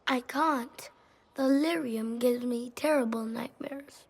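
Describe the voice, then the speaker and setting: A performer playing a quiet, curious, well-spoken little boy in a video game. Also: medium pitch, takes it slowly, gravelly, slightly rough, exaggerated voice. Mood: curious, solemn. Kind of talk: dramatic video game dialogue.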